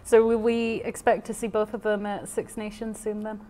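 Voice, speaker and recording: Woman interviewer with a mid-pitched voice. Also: little pitch movement, moderate pace, warm tone. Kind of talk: casual interview questions.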